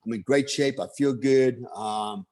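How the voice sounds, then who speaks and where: A man's voice: medium pitch, measured pace, darkish tone. A man in his fifties from the United States, telling his own life story in a loose, casual monologue full of fillers and restarts. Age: 50s. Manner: rambling, many fillers and restarts.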